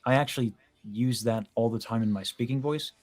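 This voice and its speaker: A man's voice: medium pitch, a little fast, darkish tone. A young man hosting a casual chat, explaining vocal technique in an analytical, detailed way. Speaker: host, vocal coach. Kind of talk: casual video-call chat about singing.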